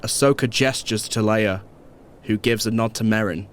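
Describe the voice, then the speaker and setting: A man's voice: fairly low pitch, normal speed, a little hiss or hum. A man narrating a scripted audio drama. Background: music.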